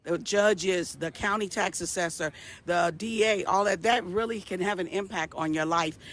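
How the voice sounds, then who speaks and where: High-voiced man, passionate street interview. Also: normal speed, fairly bright tone. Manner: passionate, emphatic. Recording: outdoor street noise.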